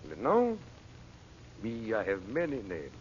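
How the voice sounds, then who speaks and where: An actor playing a sly sorcerer of French and Egyptian birth, speaking with a French accent in theatrical, sinister 1930s radio drama style. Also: male voice, lowish voice, huge pitch swings, a little slow. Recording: lo-fi old radio transcription with hiss.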